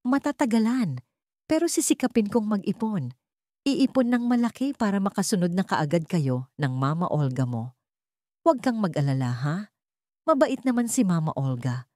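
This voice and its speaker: A woman voicing a gentle, sorrowful father in an audio story, soft, earnest and reassuring. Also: mid-range voice, big pitch swings, moderate pace, dry recording, studio quality, pitched above the performer's own voice, lightly acted. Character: gentle, sorrowful father consoling his young daughter.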